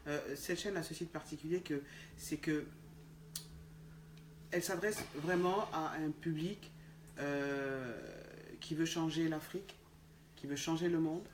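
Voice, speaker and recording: A woman's voice: low voice, fairly even intonation, measured pace, pauses often. A woman hosting an interview, asking long questions with many fillers. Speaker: interview host. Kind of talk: conversational interview. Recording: echoey room with faint background noise.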